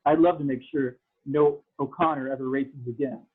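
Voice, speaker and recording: A man's voice: medium pitch, steady tone, normal speed, slightly rough, phone-quality sound, lightly acted. A man playing an arrogant, condescending pod-racing gang boss in a table read over a thin video-call connection. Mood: taunting. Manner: condescending, taunting. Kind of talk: table read of fan fiction.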